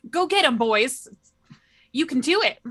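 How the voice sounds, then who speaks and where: A woman playing a polite, practical, mom-like monster hunter in a tabletop roleplaying game, gently bossy and sensible. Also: sits high, animated, a little slow, slightly rough, no echo, full character voice. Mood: practical, coaxing.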